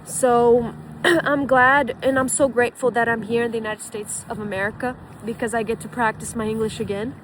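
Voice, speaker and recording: A woman's voice: a little high, steady tone, moderate pace, background noise. A woman from Brazil with a Brazilian Portuguese accent, a former physician telling her story in a rambling, casual way full of fillers and restarts.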